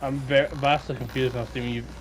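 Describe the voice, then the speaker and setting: A man's voice: mid-range voice, little pitch movement, fairly quick, a little hiss or hum, low-quality recording. A man chatting casually and teasingly as a participant in a podcast. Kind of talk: casual chat.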